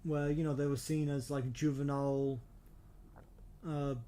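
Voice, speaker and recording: A man co-hosting a casual podcast discussion, talking in a halting, hesitant way and often repeating himself. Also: medium pitch, level tone, mellow. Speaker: podcast co-host.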